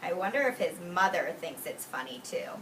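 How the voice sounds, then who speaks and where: A woman teacher reading a picture book aloud to young children, expressively and deliberately, drawing out key words and explaining simply. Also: fairly low pitch, sing-song, fairly bright tone. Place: indoors in a classroom with young children. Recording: roomy distant mic with faint background noise.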